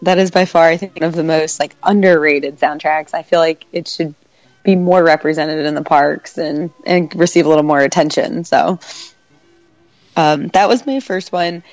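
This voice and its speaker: A woman with a cold and a congested voice, chatting casually as a podcast co-host, laughing often and filling pauses with "um" and "like". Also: low-pitched, darkish tone, no room sound, muffled recording, faint music behind. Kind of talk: casual chat.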